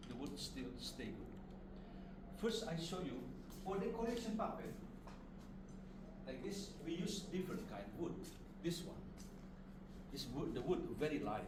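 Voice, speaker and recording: Indonesian-accented man, distant in a noisy room. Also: mid-range voice. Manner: halting, simple non-fluent English in short phrases. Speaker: puppet master and craftsman. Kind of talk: informal live demonstration talk to visitors. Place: indoor studio workshop. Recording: roomy, distant mic, loud background noise.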